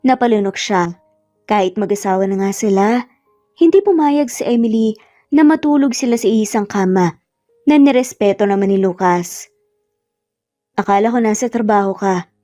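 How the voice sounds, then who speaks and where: A woman's voice: medium pitch, lively intonation, moderate pace, dark tone, no echo, clean studio sound. A woman with a Filipino accent narrating a scripted romance story. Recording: slightly muffled, music bed underneath. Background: background music.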